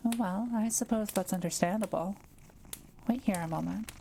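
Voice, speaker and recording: A woman playing a kind, thoughtful witch who is proud of her skill, speaking gently and a little hesitantly to the listener in an intimate audio roleplay. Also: mid-range voice, moderate pace, vocal fry, slight background noise, natural voice, rough recording. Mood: gentle, curious. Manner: gentle, hesitant, explanatory musing with small hums.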